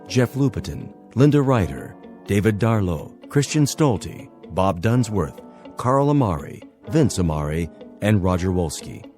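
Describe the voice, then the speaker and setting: A man's voice: sits low, dark tone. A man reading scripted cast and production credits as a radio drama announcer.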